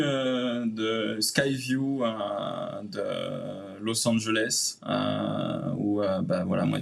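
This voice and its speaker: A man's voice: lowish voice, vocal fry. A man from Réunion with a Réunionese accent, chatting casually as a guest and filling his sentences with hesitant fillers. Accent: Réunionese French. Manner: hesitant, many "euh" fillers, creole-tinged phrasing. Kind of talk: casual interview chat.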